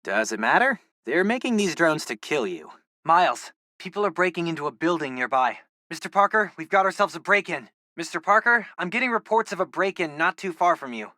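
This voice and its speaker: A man's voice: on the high side, very animated, fairly quick, pushed and bright, dry recording, clean studio sound. A young American man voicing an eager, geeky teenage boy, a loyal tech sidekick in a video game, informal and earnest. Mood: casual, focused.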